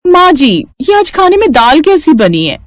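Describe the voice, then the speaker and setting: A woman's voice: high-pitched, big pitch swings, moderate pace, dry recording, clean studio sound, pitched above the performer's own voice. A woman voicing a smug, boastful young working daughter-in-law in a story, sugary to elders and condescending. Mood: demanding, complaining.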